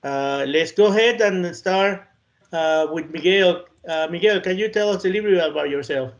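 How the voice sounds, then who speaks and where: Guy moderating, kinda hesitant, bit higher voice. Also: normal speed. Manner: hesitant, filler-led. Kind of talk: introducing a speaker.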